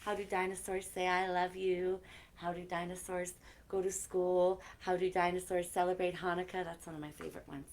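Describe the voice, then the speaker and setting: A woman's voice: on the low side, flat delivery, moderate pace, darkish tone. A woman hosting a children's library story time, reading picture books aloud expressively with chatty asides, on a noisy live-stream recording.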